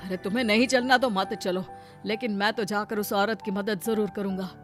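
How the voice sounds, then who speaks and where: A woman voicing a caring, brave village husband in an animated moral story, earnest and protective. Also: medium pitch, moderate pace, faint music behind, natural voice. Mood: alarmed, determined.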